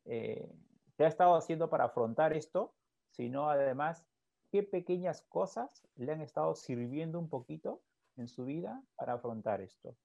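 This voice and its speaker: Brief: male psychologist, mid-pitched, mellow and reflective, slightly dull recording. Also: gravelly, dry recording. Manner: calm and reflective, often ends with a questioning tag. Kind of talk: explanatory podcast talk. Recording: dull, lo-fi, dry sound.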